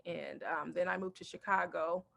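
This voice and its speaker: A woman stand-up comedian from Texas, chatting in an interview and telling animated stories about her family while acting out quotes and laughing often. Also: fairly low pitch, normal speed, gravelly. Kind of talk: casual interview chat.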